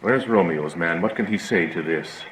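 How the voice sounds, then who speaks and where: A fairly low-pitched prince played by a man passes judgment with stern authority. His Shakespearean radio-drama delivery is formal and declamatory, set in an echoey space with faint noise. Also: moderate pace, fairly bright tone. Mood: grave. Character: stern commanding prince judging feuding families. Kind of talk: Shakespearean audio drama acting. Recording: echoey room, audible background hiss.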